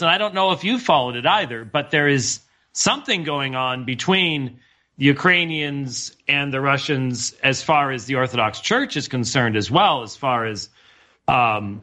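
A man's voice: on the high side, bright, forceful tone. A male co-host musing aloud in meandering questions, full of fillers. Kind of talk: radio interview discussion.

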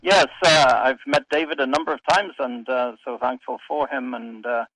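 A man from Wales, a pastor answering in a radio interview, hesitant with many pauses. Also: mid-range voice, steady tone, no room sound, rough recording. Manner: hesitant, frequent uhs, trailing off softly. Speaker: pastor and guest.

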